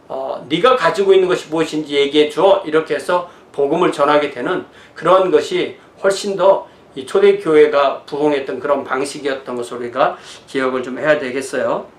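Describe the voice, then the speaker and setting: A man, a pastor giving an informal, conversational lecture in an explanatory way. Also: a little high. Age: 60s. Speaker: pastor teaching church history. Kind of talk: informal lecture mixing notes and asides. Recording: roomy, echoing sound.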